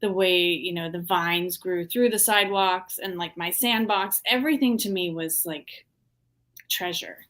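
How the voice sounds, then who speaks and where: A woman from the Washington, D.C. area, an artist guest in a casual interview, talking reflectively with many ums and pauses. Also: fairly low pitch, a bit bright. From Washington, D.C. area, USA.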